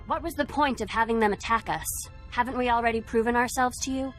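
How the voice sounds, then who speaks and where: A woman's voice: on the high side, quick pace, a bit bright, faint music behind, natural voice. A woman playing an earnest young warrior apprentice in a video game, direct and questioning. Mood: doubtful.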